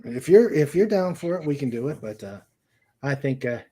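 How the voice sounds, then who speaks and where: A man chatting casually as co-host of a livestream, halting and often searching for his words. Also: medium pitch, very animated, warm tone, slightly rough.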